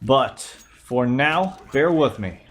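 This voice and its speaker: A man's voice: mid-range voice, slow. Slightly nasal man with a cold. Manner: relaxed play-by-play narration. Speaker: YouTube host reviewing gameplay. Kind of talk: casual gameplay commentary.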